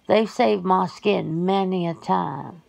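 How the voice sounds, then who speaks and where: A woman's voice: lowish voice, slow pace, creaky, a little mellow, heavily acted. A woman playing a folksy, plain-spoken werewolf sister in an ASMR roleplay, talking casually and colloquially. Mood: defensive.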